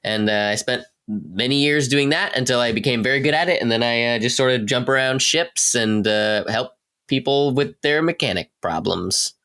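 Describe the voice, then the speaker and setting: Halting, casual man with mid-range voice. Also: mid-range voice, bright, forceful tone. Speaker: podcast cast member. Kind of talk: casual chat.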